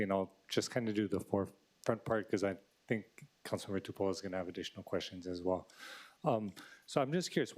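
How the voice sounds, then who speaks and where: A man, a councilmember, questioning officials at a meeting, speaking in a low monotone.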